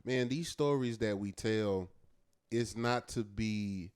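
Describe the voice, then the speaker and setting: A man with a fairly low-register voice, co-hosting a podcast and telling a story in a loose, earnest way. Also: slow, a little mellow, smooth. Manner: loose and earnest, peppered with "man" and "you know what I'm saying". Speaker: podcast co-host. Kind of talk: casual storytelling chat.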